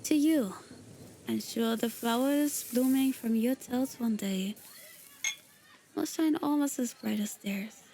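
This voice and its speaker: A woman voicing a composed, gracious young guild leader who talks in a formal, measured, slightly aloof way in a roleplay voice pack. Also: a little high, gravelly, warm tone, slight background noise, low-quality recording.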